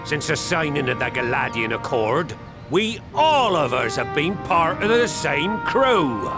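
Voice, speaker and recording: An actor playing a stern, scornful pirate captain with gruff, old-fashioned seafaring speech in a game cutscene. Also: male voice, fairly high pitch, very animated, measured pace. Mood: commanding. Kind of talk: video game cutscene acting. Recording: music playing underneath.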